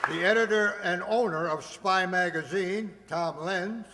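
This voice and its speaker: High-voiced man announcing in a reverberant hall. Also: a little slow, crowd noise. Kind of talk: live cast announcement to an audience. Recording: reverberant hall, audience noise.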